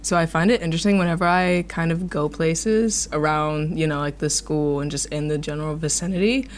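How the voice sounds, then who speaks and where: A young woman from Alabama talks in a very low voice as a guest. She sounds calm and measured, with faint background noise in the recording. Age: young adult.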